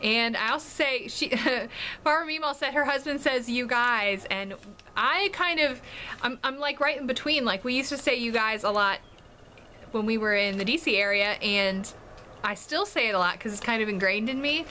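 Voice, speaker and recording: Vlogger, female, light Chesapeake accent, talks in a bright, higher voice, giggly and rambling. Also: moderate pace, slight background noise. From Washington, D.C. metro area. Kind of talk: casual chat to camera. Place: home in North Carolina.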